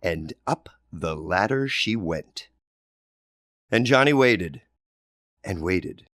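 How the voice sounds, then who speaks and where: A man reading a children's storybook aloud, wry and animated. His pitch moves a lot, and the sound is dry and close with no room. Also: slow, no room sound. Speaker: storybook reader. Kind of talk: read-aloud storybook narration.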